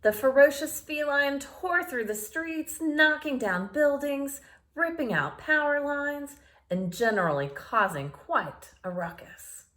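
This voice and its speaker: A woman reading a picture book aloud to children in a playful, expressive way, with asides to her young listeners. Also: high voice, slow pace.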